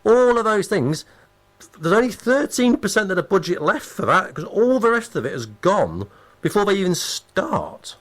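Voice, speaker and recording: Animated, emphatic male radio interviewer. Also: sits high, moderate pace, low-quality recording. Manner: emphatic, repeats phrases for effect. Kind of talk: conversational political interview.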